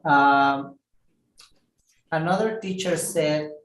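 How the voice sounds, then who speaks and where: Male ESL teacher, slow, careful delivery, repeats key words; echoey room. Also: on the high side, a bit bright. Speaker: English teacher. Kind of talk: online English lesson.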